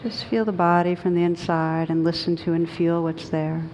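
A woman teaching meditation, guiding a group in a gentle, unhurried manner with long pauses. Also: flat delivery. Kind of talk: guided meditation led for a group. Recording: clearly noisy with low rumble.

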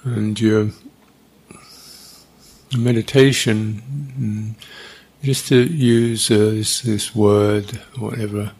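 Male meditation teacher, husky and fairly low voice, unscripted guidance with long, halting gaps. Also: little pitch movement, a little mellow. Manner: unhurried, halting, with long pauses.

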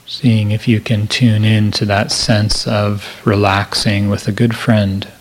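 Slow, low-pitched man guiding meditation. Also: flat delivery. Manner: gentle, measured, with long pauses. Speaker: meditation teacher. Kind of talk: guided meditation.

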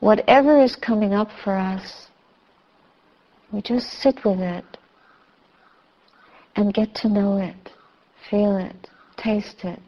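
A woman leads a guided meditation at a very unhurried pace. She speaks in short, soothing phrases with long pauses, at a medium pitch. The recording sounds a little dull. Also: steady tone. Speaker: meditation teacher. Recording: slightly dull, muffled recording.

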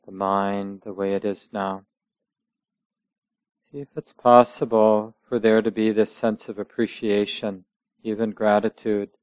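Slow, low-voiced man guiding meditation. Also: flat delivery, smooth, no echo. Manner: measured, gentle, with long pauses between short phrases. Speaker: meditation teacher. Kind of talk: guided meditation instruction.

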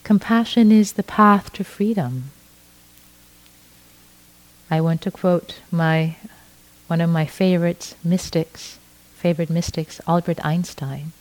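A middle-aged woman from Iran, a native Farsi speaker, giving a gentle dharma talk as a meditation teacher, often restarting her phrases. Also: fairly low pitch, low-quality recording. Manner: slow, gentle, with frequent restarts.

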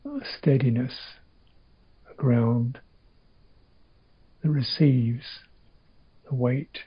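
A man teaching a guided meditation in short, reflective phrases with long pauses, his voice gravelly and husky. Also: medium pitch, steady tone, muffled recording.

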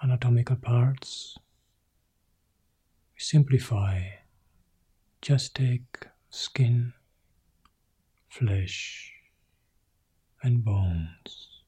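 Hushed, slow-paced male meditation guide. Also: medium pitch, husky. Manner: soft, slow phrases, often sinking to a whisper. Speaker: Buddhist monk leading meditation.

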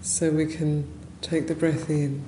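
Slow, mellow woman guiding a meditation. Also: low-pitched, level tone. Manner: gentle, unhurried, with long pauses between short phrases. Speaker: meditation teacher, Buddhist nun. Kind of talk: guided meditation. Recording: roomy echo, faint background noise.

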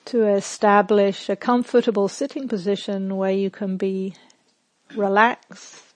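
Slow-paced woman guiding meditation. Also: medium pitch, no room sound. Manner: calm, measured, gentle instruction with long pauses. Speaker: meditation teacher. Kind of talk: guided meditation.

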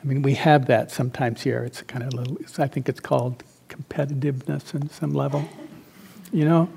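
An older man who grew up in Central America, a meditation teacher giving an informal dharma talk, telling personal stories in a halting, reflective way that trails off softly. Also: medium pitch, fairly even intonation, gravelly, a little hiss or hum.

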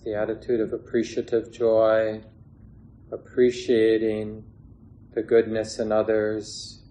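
Male meditation teacher, lowish pitch, flat unhurried delivery with long pauses, faint background noise. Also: poor audio. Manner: gentle, unhurried, with long pauses between short phrases. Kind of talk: guided meditation. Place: meditation hall with a gathered group. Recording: clear background noise, room ambience.

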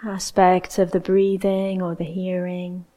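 Slow, low-voiced woman guiding meditation. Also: completely flat delivery. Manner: gentle, unhurried, with long pauses. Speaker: meditation teacher. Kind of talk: guided meditation.

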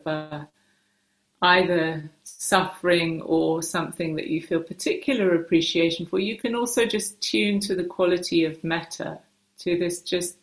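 A woman meditation teacher giving gentle guided instruction in short, unhurried phrases with hesitant pauses, recorded in a roomy, echoing space. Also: low voice.

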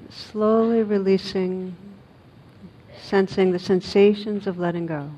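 A woman guides a meditation in a very slow, even voice. She is gentle and unhurried, leaving long pauses between short phrases. The recording is a little dull, with a faint noisy rumble. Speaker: meditation teacher.

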